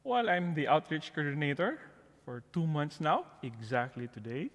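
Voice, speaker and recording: A man's voice: a little high, lively intonation, normal speed, fairly bright tone. Filipino-accented man in an echoey hall. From Philippines. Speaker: guest, parish outreach coordinator. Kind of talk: conversational personal storytelling. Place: church, before the altar. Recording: long echoey reverb of a large hall.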